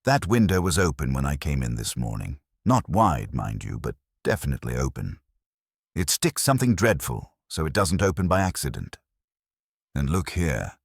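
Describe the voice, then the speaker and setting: A man reads as an eager, observant cleaning woman in a mystery story. The voice is very deep, emphatic and matter-of-fact, with lively movement in pitch. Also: normal speed, vocal fry, slightly rough, no room sound, clean studio sound, heavily acted. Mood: eager, insistent. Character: observant, eager female caretaker reporting findings.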